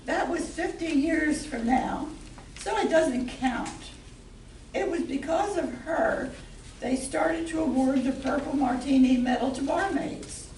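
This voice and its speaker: A high-pitched barmaid acted by a woman speaks her radio-play lines with slow, stagey care. The sound is distant and echoey, as from a live stage. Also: darkish tone. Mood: chatty, matter-of-fact. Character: excitable barmaid Alice and fellow bartender Heloise. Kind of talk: amateur old-time radio play acting. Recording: echoey distant hall recording with noise.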